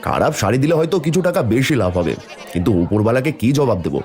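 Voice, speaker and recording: A man voicing an honest, good-natured sari seller and father, speaking earnestly in an animated moral story. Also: mid-range voice, racing.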